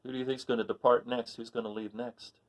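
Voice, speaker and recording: A man reading a picture book aloud to children, pausing to count along and quiz his young listeners. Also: mid-range voice, level tone.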